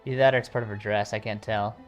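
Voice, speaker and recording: A man's voice: on the low side, fairly even intonation, racing. A man livestreaming a video game, giving casual, musing reaction commentary in fragmented remarks. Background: soft game music.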